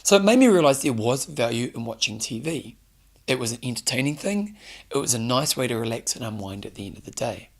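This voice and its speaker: A man giving an earnest solo coaching talk, hoarse from losing his voice. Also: mid-range voice, sing-song, a little fast. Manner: earnest, coaching, direct questions to listener. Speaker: fitness show host. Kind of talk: solo motivational talk to camera.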